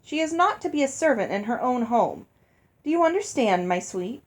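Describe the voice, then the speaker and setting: A woman voicing a smooth-talking, placating father in a storybook, full of sweet endearments. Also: on the high side, sing-song, normal speed. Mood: placating, firm.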